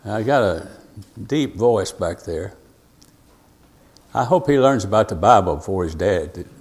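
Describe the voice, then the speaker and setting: An older man gives an informal sermon, rambling and halting in a folksy, storytelling way. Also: on the low side, expressive, darkish tone, husky. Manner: rambling, folksy, halting, full of asides and self-told anecdotes. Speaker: preacher teaching Bible prophecy.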